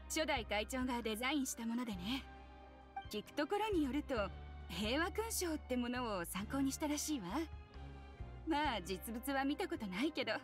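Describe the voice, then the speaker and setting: High-voiced, chatty female inmate. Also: animated, normal speed, faint music behind. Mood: admiring. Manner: casual, chatty, explanatory. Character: friendly female inmate, admiring group member. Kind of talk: anime game voice acting.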